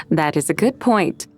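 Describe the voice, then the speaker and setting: A woman voicing an ancient, graceful immortal spirit in a video game, poetic and archaic, gently teasing. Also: mid-range voice. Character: ancient female adeptus spirit, graceful, playful and serene. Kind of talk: video game character acting.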